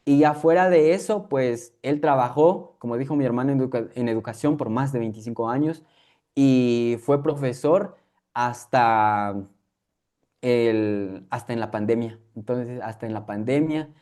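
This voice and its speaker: A man's voice: medium pitch, unhurried, dark tone. A young man from Guatemala, a native K'iche' speaker whose Spanish carries a K'iche' accent, speaking reflectively as an interview guest with frequent fillers and restarts. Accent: K'iche'-influenced Guatemalan Spanish. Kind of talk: conversational interview.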